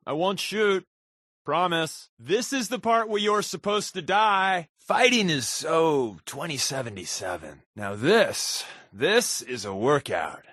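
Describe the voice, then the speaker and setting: A sardonic American secret agent, a sly fibber from a video game. His voice is slightly rough and husky, recorded very dry, and his pitch moves around a lot as he tosses off casual, deadpan quips. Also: takes it slowly, no echo, heavily acted. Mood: taunting in combat. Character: wisecracking, sly adult male undercover agent, habitual fibber. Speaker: companion character in a video game.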